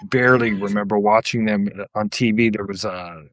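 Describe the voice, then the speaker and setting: A man's voice: lowish voice, steady tone, moderate pace, no echo. A middle-aged man on a podcast, casually reminiscing about pop culture. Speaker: podcast guest.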